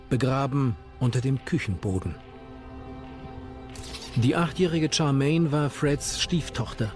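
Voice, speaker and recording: Male documentary narrator, mid-pitched, scripted true crime read over faint music. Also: darkish tone.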